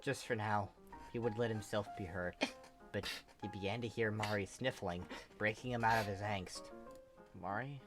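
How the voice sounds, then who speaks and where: A storyteller with a medium-pitched voice reads fan fiction expressively. Music plays behind the narration. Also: steady tone, normal speed. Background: background music.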